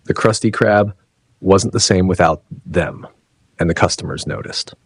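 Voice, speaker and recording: Male narrator for audio drama: deep, slightly rough voice, scripted read, dry sound. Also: normal speed, no room sound.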